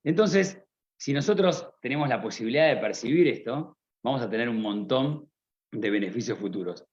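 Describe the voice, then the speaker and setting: A man's voice: mid-range voice, lively intonation, normal speed, a little mellow. A man from Argentina with an Argentine accent, giving a motivational business talk at a conference, often asking the audience rhetorical questions. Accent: Argentine Spanish. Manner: rhetorical questions, addresses listener directly. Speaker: conference speaker. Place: conference stage. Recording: slightly dull lo-fi sound.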